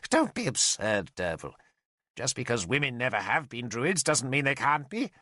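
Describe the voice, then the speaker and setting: A man playing a blind old druid, a theatrical, emphatic storybook character who rambles half-mad and is quick to take offence. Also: medium pitch, sing-song, pushed and bright, no room sound, clean studio sound. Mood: indignant, lecturing. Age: older.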